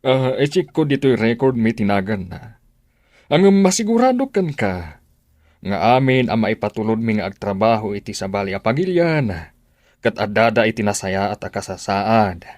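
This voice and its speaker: A man's voice: fairly low pitch, animated, normal speed, a little mellow, no room sound, clean studio sound, lightly acted. A man playing a polite, reassuring recruitment agency officer in an Ilocano Filipino radio drama. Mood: reassuring, businesslike.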